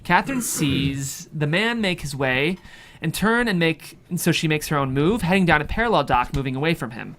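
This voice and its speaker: A narrator delivers scripted stage directions from a thriller screenplay. The voice sits at a middling pitch and carries the action as dramatic narration. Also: expressive, normal speed, a bit bright.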